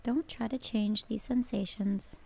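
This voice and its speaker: A woman narrating a scripted guided meditation in a calm, gentle, measured manner. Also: fairly high pitch, vocal fry, slightly rough.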